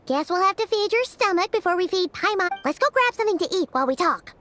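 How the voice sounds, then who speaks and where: A woman voicing a small, childlike fairy companion in a video game, squeaky, excitable and chatty, full of exclamations. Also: fast talker, faint music behind. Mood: teasing, cheerful. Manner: exclamatory, speaks in third person. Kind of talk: animated video game voice acting.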